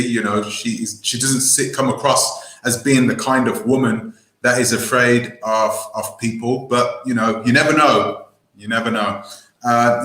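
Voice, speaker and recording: A man hosting a live call-in stream, speaking hesitantly with many pauses and "um"s. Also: medium pitch, fairly bright tone, echoey room, rough recording. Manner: hesitant, lots of "um" and restarts.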